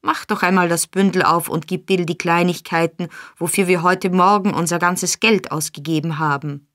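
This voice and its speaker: A woman's voice: lowish voice, steady tone, moderate pace, fairly bright tone, lightly acted. A woman reading an audiobook, voicing a scheming old male fence who wheedles and placates. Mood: wheedling. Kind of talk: audiobook character voice.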